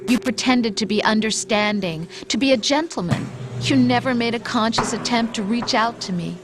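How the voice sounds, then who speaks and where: A woman's voice: a little high, normal speed, noisy background, natural voice. An actress playing a hurt young woman who speaks to her lover in a reproachful, cutting way, in a video game cutscene. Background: game music.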